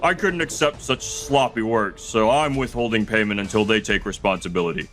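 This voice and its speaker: A man voicing a scheming, panicky CEO who smooths over his lies in a manga dub. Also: fairly low pitch, brisk, fairly bright tone, faint music behind, close to an everyday voice. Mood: smug lying.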